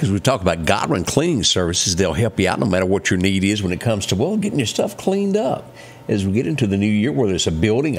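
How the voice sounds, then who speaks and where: A man's voice: fairly low pitch, a little hiss or hum. A middle-aged man hosting a morning show, chatting and reading ads in a folksy way. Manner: folksy, lively, reads ads conversationally. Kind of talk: casual chat and live ad reads. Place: small living-room style studio set, indoors.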